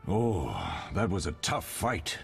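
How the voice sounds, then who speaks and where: An actor playing a wise, fatherly older mentor of monster hunters in a video game, measured and instructive. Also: low-pitched, sing-song, husky, faint music behind, exaggerated voice. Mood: approving, instructive.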